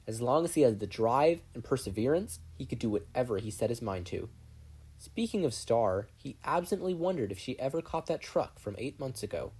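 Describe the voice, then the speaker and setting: A narrator reading a fan fiction story aloud in an expressive scripted style. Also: medium pitch, normal speed, slightly rough. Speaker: podfic narrator.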